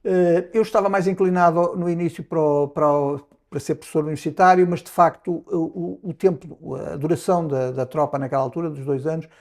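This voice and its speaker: A man in his sixties from Lisbon with a European Portuguese accent, answering interview questions about his diplomatic career in a measured way, often pausing and restarting. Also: fairly high pitch, fairly even intonation, a little mellow. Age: 60s. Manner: measured, with frequent hesitations and restarts. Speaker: ambassador, interview guest.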